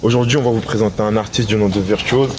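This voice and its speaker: Low-voiced male host, distant mic. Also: quick pace. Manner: calm, short acknowledgements. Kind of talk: interview hosting. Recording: distant mic with clear background noise.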